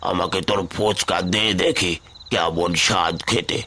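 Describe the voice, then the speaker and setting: A man's voice: deep voice, steady tone, normal speed, bright, forceful tone, slight background noise. A man playing a kindly old forest hermit in a cartoon, speaking like a fatherly adviser who gives blessings. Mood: benevolent, solemn. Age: older.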